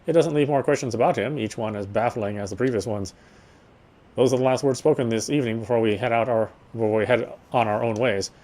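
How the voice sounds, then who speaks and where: A man's voice: medium pitch, fast, darkish tone. A man reading first-person visual novel text aloud as narration, stumbling now and then over words.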